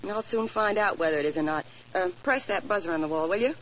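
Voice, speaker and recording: A woman's voice: fairly low pitch, quick pace, a bit bright, lightly acted. Actress as brassy, streetwise young woman. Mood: wary. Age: young adult. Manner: slangy, wisecracking. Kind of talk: 1940s radio acting. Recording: noisy old radio recording.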